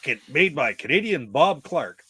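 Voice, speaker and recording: A man's voice: on the high side, big pitch swings, a little slow, bright, forceful tone, husky, no room sound. A man talking casually as a panelist in a group chat show. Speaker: podcast panelist.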